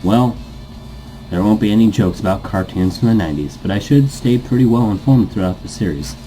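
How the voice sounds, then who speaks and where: A man's voice: on the low side, brisk, warm tone, soft background music, low-quality recording. A man doing a casual gaming playthrough, reading game text aloud and rambling off on tangents. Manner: rambling, easily sidetracked. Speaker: let's player reading a visual novel aloud.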